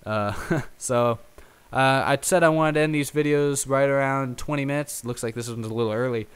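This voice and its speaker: A young man with a mid-range voice that comes through clean and smooth. He talks casually and off the cuff as a solo host, wandering from point to point. His manner is chatty and self-deprecating. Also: mid-range voice, moderate pace. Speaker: host of a YouTube racing talk show. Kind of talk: casual unscripted solo chat.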